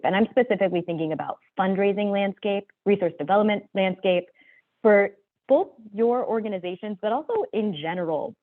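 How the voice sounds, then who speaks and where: A woman moderating an online panel in a chatty, loose way, thanking speakers and steering the talk. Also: mid-range voice. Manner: chatty, loose, with fillers and quick apologies. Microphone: video call. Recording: tinny phone-like video call audio.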